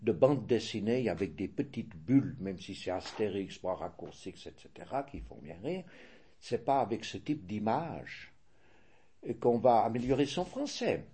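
Older Swiss French man, interviewee, measured and hesitant, lowish husky tone. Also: sing-song, dark tone. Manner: measured, hesitant, restarts and self-corrects. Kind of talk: casual interview answers.

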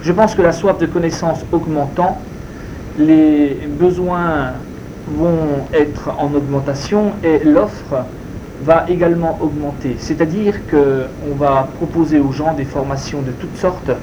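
Man, native Spanish speaker, interviewee; measured and very unhurried, pausing often, with constant noise in the background. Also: fairly high pitch, fairly even intonation, lo-fi sound. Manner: slow, deliberate, hesitant with frequent fillers. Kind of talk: answering interview questions.

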